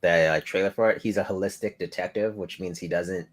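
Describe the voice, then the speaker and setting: Co-host, male, relaxed, easygoing, slightly low voice. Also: brisk. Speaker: podcast co-host. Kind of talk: casual chat.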